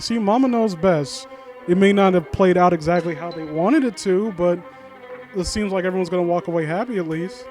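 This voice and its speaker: A man with a fairly high voice does casual commentary and story reading. He is sardonic and informal, and trips over his words as he goes. Music plays faintly behind him. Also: a little mellow. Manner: sardonic, mock-supportive asides, informal, stumbling over read lines. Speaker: host of a critique series reading a story aloud and commenting.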